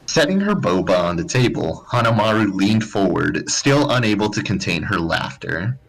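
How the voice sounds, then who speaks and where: A man's voice: fairly low pitch, big pitch swings, moderate pace, low-quality recording. A man narrating fan fiction as a live dramatized comedic reading.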